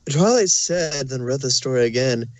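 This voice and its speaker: A man narrates scripted fan fiction in a medium-pitched voice. The sound is dry and close, with no room around it. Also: expressive, moderate pace, no echo.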